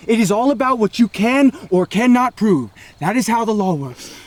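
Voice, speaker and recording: An actor playing a volatile ex-convict who talks aggressively and with constant swearing. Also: male voice, a little high, fairly quick, dry recording, lightly acted. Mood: frantic. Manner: aggressive, profane, explosive. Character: volatile ex-convict man named Caleb.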